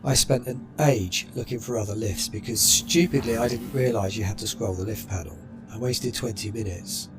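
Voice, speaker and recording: A man narrating a game walkthrough in a measured, matter-of-fact way. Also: lowish voice. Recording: music playing behind.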